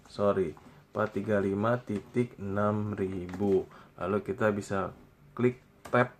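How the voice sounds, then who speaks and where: An Indonesian man presenting a step-by-step software tutorial in a plain, instructional way, pausing between short phrases. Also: lowish voice, slight background noise.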